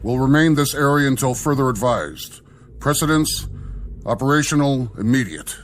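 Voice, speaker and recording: A male actor plays a junior navy officer in a radio drama, obedient and exact. His medium-pitched voice delivers brisk, formal military phrases over faint noise. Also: animated, close to an everyday voice.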